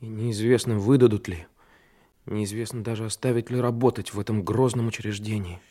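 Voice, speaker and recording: An actor playing an earnest, anxious, brooding seventeen-year-old trainee detective in a radio drama. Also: male voice, on the low side, fairly even intonation, fairly quick, warm tone, close to an everyday voice. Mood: uneasy. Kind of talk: Soviet radio play acting, inner monologue.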